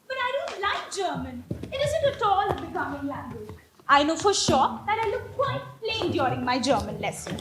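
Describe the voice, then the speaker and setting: A woman's voice: extremely high pitch, big pitch swings, moderate pace, noisy background. A woman playing a romantic, pertly innocent young Victorian ward in a stage comedy, with an ingenuous, theatrical manner. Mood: sulky, pert. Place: live stage play. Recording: echoey stage play recording.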